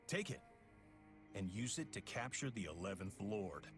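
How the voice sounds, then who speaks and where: An actor playing a cool, scheming villain leader in a video game, composed, smug and precise. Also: male voice, fairly low pitch, very animated, normal speed, over music. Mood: cool, calculating. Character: cool, scheming male villain leader explaining his plan.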